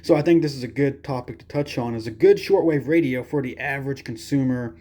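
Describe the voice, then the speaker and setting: Male product reviewer, soft-edged warm timbre, mid-pitched, informal and rambling, hesitant delivery. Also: fairly quick. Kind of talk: casual hands-on product review.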